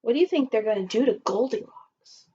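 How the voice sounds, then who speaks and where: A woman teacher reading a children's story aloud expressively and pausing to put questions to her listeners. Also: fairly high pitch, animated, dark tone, husky. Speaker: primary school teacher. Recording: dull lo-fi recording.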